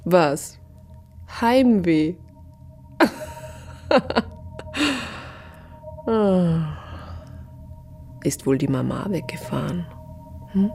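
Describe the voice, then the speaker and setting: Breathy, drawling seductress. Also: female voice, on the low side, expressive, extremely slow, long pauses, mellow, soft background music, full character voice. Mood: mocking, seductive. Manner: drawling, taunting, breathy half-whispers. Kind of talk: German radio play acting.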